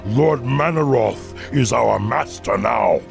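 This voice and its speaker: A menacing orc warlord corrupted by demons in a fantasy game. His harsh voice is thick with gravel and drawn out slowly in scorn, with music behind. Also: mid-range voice, expressive, full character voice. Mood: sinister taunting. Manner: taunting, slow. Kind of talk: video game voice acting.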